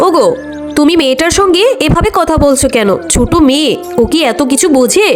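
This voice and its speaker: Sour, sarcastic cartoon mother, woman performer: high voice, grumbling delivery, music clearly behind. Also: lively intonation, fairly quick. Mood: reproachful. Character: sour, nagging village aunt and mother. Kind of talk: cartoon dialogue.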